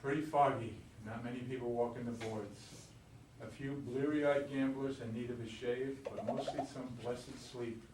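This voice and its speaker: A man's voice: medium pitch, steady tone, normal speed, close to an everyday voice. A man reading the part of a brash, world-weary gambler husband in an amateur stage play. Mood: weary musing. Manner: blunt, colloquial, grumbling. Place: indoor room at a live reading. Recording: echoey room, distant mic, noisy.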